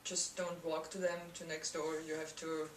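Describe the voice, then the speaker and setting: A woman's voice: deep voice, flat delivery, moderate pace. A young Austrian woman, her English shaped by a German accent, chats easily and giggles between sentences. She talks in simple words. The mic sits a little way off in a small room, so her voice comes back roomy, with a faint hiss behind it. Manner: giggly, laughs often, simple phrasing. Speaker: guest comedian and actress. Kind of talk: casual interview chat. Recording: roomy echo, distant mic, faint noise.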